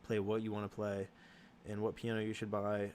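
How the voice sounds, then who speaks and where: A young man hosting a casual livestream Q and A, answering chat questions in a halting way with many ums and restarts. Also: lowish voice, monotone, darkish tone, slight background noise.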